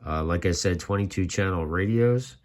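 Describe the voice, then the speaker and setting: Low-pitched man giving a casual explainer. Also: normal speed, a little mellow. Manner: informal, conversational, with folksy asides. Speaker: host.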